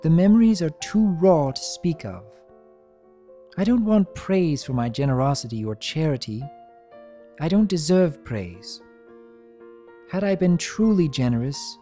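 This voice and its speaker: Performer voicing a genteel, soft-toned young pony lady over music. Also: medium pitch, lively intonation, lightly acted. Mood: earnest, wistful. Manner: genteel, earnest, measured. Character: Rarity, refined sincere young female pony diarist. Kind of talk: dramatic reading of diary entries.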